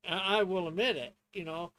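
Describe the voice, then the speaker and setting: A man in casual stream talk, sometimes reading rules aloud, hesitant and halting as he thinks out loud. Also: high-pitched, fairly even intonation. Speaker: streamer and tabletop player.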